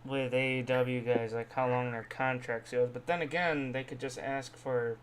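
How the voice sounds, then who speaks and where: A man with a mid-pitched voice talks informally as a co-host on a podcast. There is faint noise behind him, as on a home microphone. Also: steady tone, normal speed. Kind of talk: casual wrestling podcast chat.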